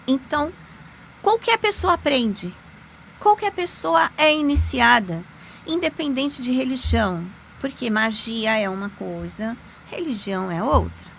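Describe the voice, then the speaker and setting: A woman, a podcast guest, telling a personal story in an animated, emphatic way with sudden pitch jumps. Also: measured pace, gravelly, slight background noise, low-quality recording. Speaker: podcast guest, lawyer and magic teacher. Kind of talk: conversational storytelling.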